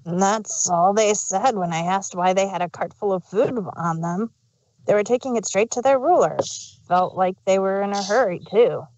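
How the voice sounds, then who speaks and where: A woman's voice: mid-range voice, normal speed, a little mellow, no echo, lo-fi sound, lightly acted. A woman voicing a naive, curious and slightly sassy little boy dragon in a fan fiction reading. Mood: puzzled.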